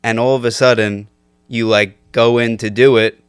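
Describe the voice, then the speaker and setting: A man's voice: medium pitch, little pitch movement, moderate pace, smooth, no echo, studio quality. A young man in his twenties telling stories on a casual podcast, loose and laughing with lots of fillers. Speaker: podcast guest. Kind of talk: casual storytelling chat.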